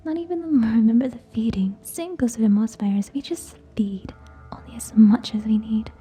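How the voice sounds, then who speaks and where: A woman playing a haughty, teasing tsundere vampire in a scripted ASMR roleplay, whispering scornfully and deliberately, close to the microphone. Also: on the high side, dark tone, breathy edge. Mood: irritated, lecturing.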